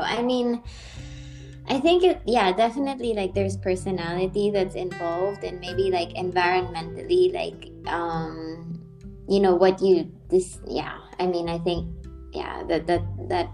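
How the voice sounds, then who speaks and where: A woman's voice: fairly low pitch, steady tone, unhurried, dark tone, faint music behind, rough recording. A woman in her thirties from the Philippines, hosting a podcast in casual conversation and often searching for words. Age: 30s. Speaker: podcast host.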